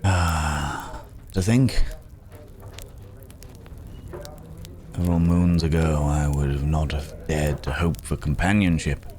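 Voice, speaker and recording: A man playing a weary, philosophical cursed werewolf in an intimate ASMR roleplay, musing in courteous, old-fashioned words with long, hesitant pauses. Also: very deep voice, steady tone, a little mellow. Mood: grateful, warm. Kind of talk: slow intimate ASMR audio roleplay acting. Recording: background crowd murmur.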